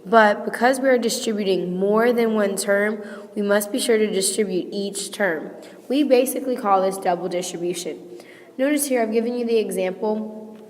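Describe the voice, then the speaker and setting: A woman teacher with a hoarse, failing voice, patiently walking students through a math lesson step by step and checking in with "okay?". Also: medium pitch, normal speed, big-room sound. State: sick, losing her voice. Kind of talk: recorded classroom lesson.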